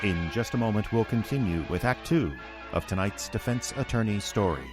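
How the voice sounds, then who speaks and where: A male radio announcer with a low voice reads scripted continuity and sponsor copy. Music plays clearly behind him. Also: moderate pace.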